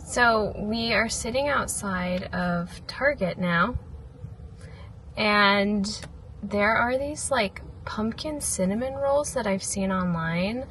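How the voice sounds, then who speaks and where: A young American woman with a slightly raspy voice, chatting casually and informally to camera as a lifestyle vlogger. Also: medium pitch, slow pace, a bit bright, faint music behind. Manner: informal, frequent "like" and "really".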